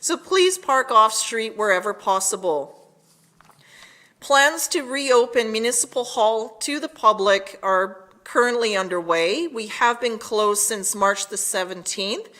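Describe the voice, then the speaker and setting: A woman delivering a prepared public address as town mayor, measured and formal. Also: on the high side, lively intonation, bright, forceful tone.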